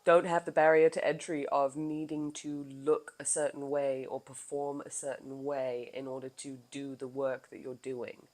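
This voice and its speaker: A woman hosting her own podcast in casual chat, with a low voice, animated and laughing often, now and then swearing. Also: moderate pace, few pauses. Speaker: podcast host.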